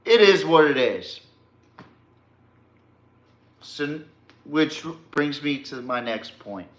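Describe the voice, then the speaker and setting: A man's voice: fairly high pitch, lively intonation, takes it slowly, a bit bright. Blunt American man in an echoey room. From Texas, United States. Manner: blunt, profane, emphatic. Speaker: sports podcast host. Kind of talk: casual opinionated sports rant.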